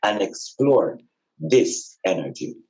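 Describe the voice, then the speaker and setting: A man giving an informal, explanatory spiritual talk in a deliberate, emphatic way, repeating phrases for stress. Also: on the low side, expressive, a little mellow, poor audio. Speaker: podcast speaker and spiritual teacher.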